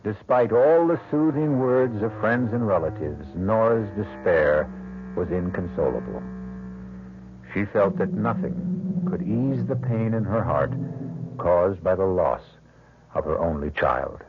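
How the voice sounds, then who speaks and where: Deep, dramatic male radio mystery host. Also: big pitch swings, noisy background. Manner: dramatic, deliberate, portentous. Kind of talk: 1970s scripted radio narration. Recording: dull old radio broadcast, music behind.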